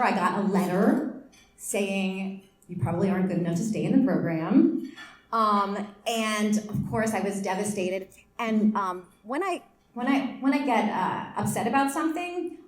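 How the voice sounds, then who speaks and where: A woman in her forties tells stories from her life to a school audience. She is lively and self-deprecating and laughs easily. Her mid-pitched voice rings with the echo of a roomy event hall. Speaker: keynote speaker. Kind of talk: storytelling speech to students.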